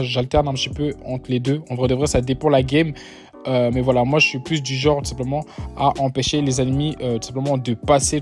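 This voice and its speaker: A man's voice: medium pitch, a little mellow. A young man casually ranking weapons in a mobile shooter, chatty and full of filler words and gamer slang, talking straight to his viewers. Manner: loose, chatty, constant fillers and "tout simplement" restarts; gamer slang, addresses viewers as "les gars". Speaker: mobile game content creator.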